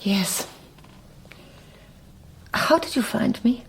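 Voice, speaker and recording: A woman's voice: a little high, expressive, extremely slow, slight background noise, low-quality recording. An actress playing a reserved German woman, speaking tersely and carefully in a radio drama. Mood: wistful, guarded. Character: guarded, reserved German cellist recalling her parents. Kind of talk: naturalistic radio drama acting.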